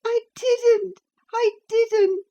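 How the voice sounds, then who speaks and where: A woman's voice: extremely high pitch, fairly even intonation, extremely slow, mellow, voice pushed up, full character voice. A woman voicing a frantic teenage girl who sobs and begs breathlessly. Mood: tearful pleading. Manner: sobbing, breathless and begging. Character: frantic pleading teenage girl, young lady.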